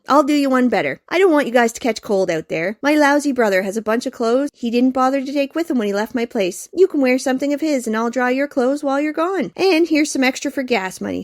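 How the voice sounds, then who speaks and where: High-voiced smug young woman played by an actress. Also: expressive, fairly quick, few pauses, no room sound, clean studio sound. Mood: scheming. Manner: sardonic, haughty. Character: smug, taunting young woman, scheming rival. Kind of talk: comic radio play acting.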